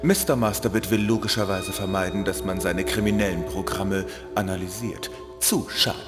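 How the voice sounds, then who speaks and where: A man's voice: deep voice, fairly even intonation, normal speed, lightly acted. Man as a fussy computer program, very reverberant. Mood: eager, precise. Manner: precise, fussy, formal wording. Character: pedantic, logical computer program, proud tech genius. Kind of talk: children's audio drama acting. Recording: long reverb, music underneath.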